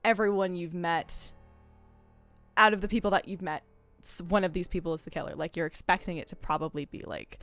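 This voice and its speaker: A woman's voice: on the low side, fairly even intonation, fairly quick, a bit bright, soft background music. A woman talking casually about video games as a stream host, digressive and often interrupting herself. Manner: rambling, digressive, full of self-interruptions. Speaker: host of a game discussion stream.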